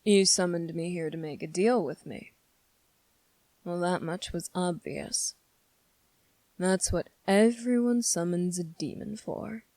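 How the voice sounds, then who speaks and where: A woman voicing a sardonic, condescending demon in an ASMR roleplay, speaking deliberately with drawn-out pauses. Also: fairly low pitch, mellow, no echo. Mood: condescending, amused. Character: sardonic, condescending demon summoned to make a deal. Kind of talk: ASMR roleplay, dramatic monologue to listener.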